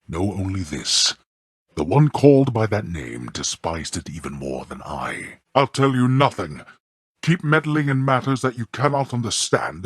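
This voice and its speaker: An imperious middle-aged lord of the dead for a video game. His voice is very deep and husky, recorded close and dry. He talks in formal, archaic language, heavy with contempt, like a stern father ruling his house. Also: fairly bright tone, no room sound, exaggerated voice. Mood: guarded, warning. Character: stern, scornful middle-aged god-king of the underworld and father. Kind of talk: theatrical scripted video game voice acting.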